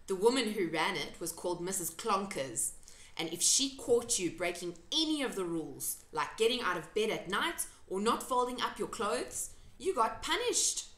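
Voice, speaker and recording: A woman's voice: medium pitch, sing-song, moderate pace, fairly bright tone. A woman voicing a frank, plucky little orphan girl in a children's storybook, answering plainly. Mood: bitter, frank. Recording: roomy home echo.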